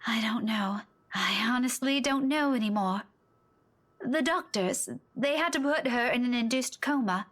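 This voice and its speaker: An actress playing a weary, distraught young woman in a visual novel, speaking in a halting, emotional way. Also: on the high side, a bit bright. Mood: anxious, defeated. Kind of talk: visual novel voice acting, dramatic.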